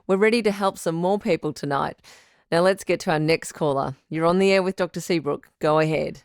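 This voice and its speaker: Actress doing a calm supportive lady doctor on a radio call-in drama, deep voice, careful professional wording, close dry sound. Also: clear, resonant tone, no room sound, natural voice. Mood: welcoming, professional. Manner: measured, articulate, professional counselling tone. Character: calm, supportive woman doctor hosting radio advice show. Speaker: radio advice show host, sex therapist. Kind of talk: scripted audio drama as call-in radio advice.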